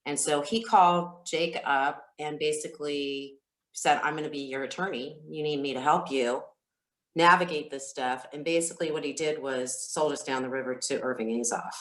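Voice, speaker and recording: A woman who is a singer telling stories as an interview guest, speaking casually and candidly in a halting, reflective way. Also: sits low, fairly even intonation. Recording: roomy, echoey sound.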